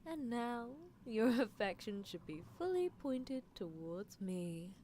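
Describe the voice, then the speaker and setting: A woman's voice: on the high side, sing-song, slow, warm tone, slight background noise. A woman voicing an obsessive, teasing mad-scientist girl in a roleplay ASMR, coaxing and clinical with a captive test subject. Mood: smugly possessive.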